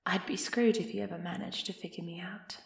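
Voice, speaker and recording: A woman's voice: on the low side, brisk, gravelly, slightly rough, no echo, full character voice. A woman playing a young runaway elf heiress in an intimate roleplay audio drama, defiant yet anxious and affectionate, often sighing and sinking into whispers. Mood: anxious, tender. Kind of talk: roleplay ASMR audio drama, close and breathy.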